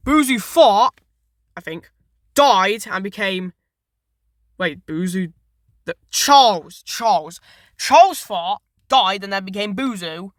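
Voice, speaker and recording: A woman speaks off the cuff in a very dry recording with almost no room sound. Her pitch is average for a woman. She rambles enthusiastically, often correcting herself, like a host casually recapping something for viewers. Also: expressive, takes it slowly, pauses often, no echo. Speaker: video host reviewing a horror series. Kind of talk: casual, unscripted video commentary.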